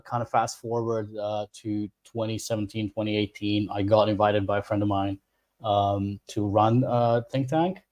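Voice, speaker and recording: A man from Bosnia and Herzegovina, an English speaker, talking as an interview guest in a relaxed way, often restarting his sentences. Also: fairly low pitch. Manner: relaxed, laughs easily, halting restarts. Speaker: guest expert. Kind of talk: casual interview.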